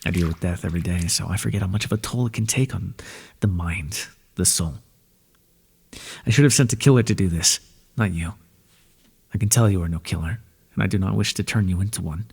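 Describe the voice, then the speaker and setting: A man voicing an ancient god of the underworld in an ASMR roleplay, speaking in a soft, breathy, measured way and reassuring the listener tenderly. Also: deep voice, big-room sound, heavily acted. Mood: gentle, soothing.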